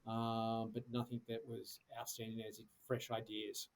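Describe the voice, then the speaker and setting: A man's voice: on the low side, completely flat delivery, normal speed, gravelly. A middle-aged man, talking casually as a podcast guest, with many fillers and restarts. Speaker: guest, security executive.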